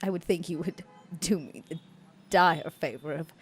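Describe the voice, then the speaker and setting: A woman voicing a composed, guarded female vampire, speaking slowly and in a measured way, often half-whispered. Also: deep voice, breathy edge.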